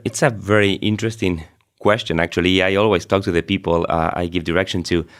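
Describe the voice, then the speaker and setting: Low-voiced Argentine priest, middle-aged man. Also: steady tone, fast. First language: Spanish. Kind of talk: personal storytelling in an interview.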